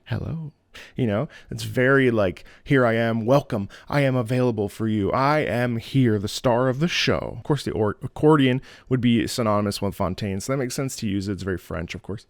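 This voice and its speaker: Male opera-singer host, gravelly voice, dry close mic, eager, hums and scats along with the music. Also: medium pitch, moderate pace, dry recording. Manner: enthusiastic, sings phrases along with the music. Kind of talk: casual music analysis.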